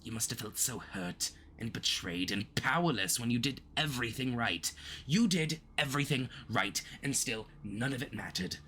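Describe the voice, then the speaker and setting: A man's voice: mid-range voice, expressive, moderate pace, pushed and bright, slight background noise. A man playing a smug but easily flustered, obsessive supervillain in a flirty, theatrical roleplay addressed to the listener, with awkward stammering slips. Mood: intense, sympathetic.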